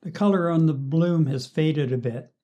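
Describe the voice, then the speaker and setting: A man co-hosting a talk show with unscripted political commentary, haltingly repeating words as he starts. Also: fairly high pitch, warm tone.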